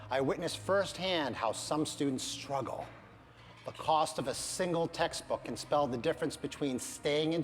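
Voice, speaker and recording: A middle-aged man, a university financial aid administrator, giving an earnest, measured prepared speech at a campaign event. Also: mid-range voice, in a large hall. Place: large event hall.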